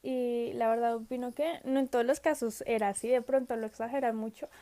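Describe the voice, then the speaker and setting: A woman's voice: fairly high pitch, steady tone, a little fast, mellow. A seventeen-year-old girl who is unwell, answering study questions in a casual video message, often hesitating, trailing off and laughing. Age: teens. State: sick.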